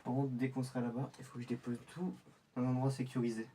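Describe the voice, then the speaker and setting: Un homme jouant un infiltré qui réfléchit tout haut. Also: male voice, mid-range voice, level tone, fast, mellow, distant mic, a little hiss or hum, natural voice. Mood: pensive. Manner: muttering to himself, thinking aloud. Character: male undercover infiltrator planning his cover. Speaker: infiltrator character. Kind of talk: scripted in-character monologue.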